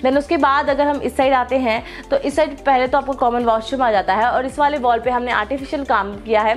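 A young woman with a high, bright-sounding voice leads a property tour, gushing over every detail in an eager sales pitch. She walks through a furnished apartment as she talks, and soft music plays faintly underneath. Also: fairly even intonation, a little fast. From India. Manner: enthusiastic, gushing salesy praise. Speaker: real estate tour presenter. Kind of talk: property walkthrough presentation. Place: large furnished indoor apartment.

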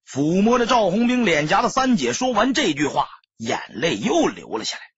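A man's voice: sits high, sing-song, moderate pace, fairly bright tone, breathy edge, dry recording, highs cut off. A man narrating an audiobook as scripted dramatic storytelling, solemn and emotive.

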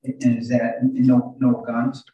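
An older man cross-examining a witness as a defense lawyer, halting and repeating words as he builds questions. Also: mid-range voice, monotone, dull audio. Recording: very echoey, distant video call audio.

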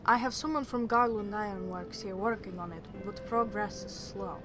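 A woman playing a shrewd, sharp-tongued shipwright with formal, slightly archaic phrasing in a fantasy drama. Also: medium pitch, normal speed, music in the background, close to an everyday voice. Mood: probing. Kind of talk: fan-made fantasy game drama acting.

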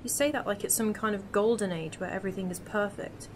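A woman's voice: medium pitch, quick pace, slight background noise, lightly acted. A woman playing a curious, sceptical young companion from the future in a fan audio drama. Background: music.

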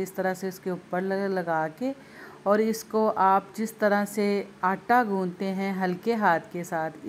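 Lady walking viewers through a recipe at home, kinda low voice, repeats herself patiently, a little kitchen noise behind. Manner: patient, repeats instructions, speaks directly to viewers. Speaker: home cooking video host. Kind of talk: step-by-step cooking demonstration.

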